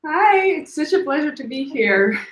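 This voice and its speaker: A woman's voice: sits high, sing-song, moderate pace. A woman gives an informal, light-hearted talk as a guest designer on an online call. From Latvia. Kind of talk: informal career talk presentation. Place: indoors, on a live video call. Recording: roomy, echoey online call.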